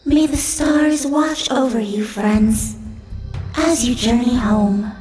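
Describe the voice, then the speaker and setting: Slow, echoing, soft-toned female unicorn. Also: a little high. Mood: solemn, gentle. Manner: solemn, measured, formal. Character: gentle, solemn female unicorn, wise fey guardian. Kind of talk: fantasy audio drama acting. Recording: echoey, music underneath.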